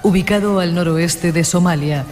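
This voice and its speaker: A woman's voice: lowish voice, normal speed, a little mellow. A woman reading scripted documentary narration for a radio programme. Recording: long reverb under clear music.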